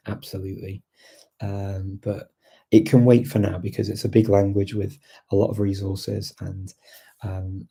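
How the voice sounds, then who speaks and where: A middle-aged man from Britain hosts a relaxed live chat, talking with rambling enthusiasm, full of ums and restarts. His voice sits low with a gravelly edge. The tone is very mellow and a little husky. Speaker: language-learning host answering viewer questions. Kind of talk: casual livestream chat.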